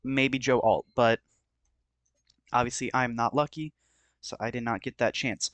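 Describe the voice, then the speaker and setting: A man giving casual play-by-play commentary as host of a gaming video, his voice slightly hoarse. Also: medium pitch, steady tone, unhurried, heavy background noise.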